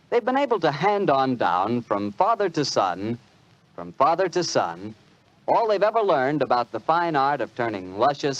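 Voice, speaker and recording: A man with an American accent, a polished, emphatic announcer in 1940s radio style. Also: medium pitch. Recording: muffled 1940s radio recording, music behind. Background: music.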